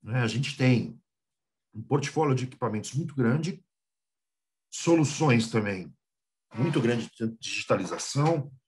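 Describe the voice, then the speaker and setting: A Brazilian man gives a business talk from a home office over a video call. His pitch moves a great deal, and he often repeats small words while he finds the next point. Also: measured pace, long pauses. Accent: Brazilian Portuguese. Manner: lively, repeats small words, clears throat. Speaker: guest speaker.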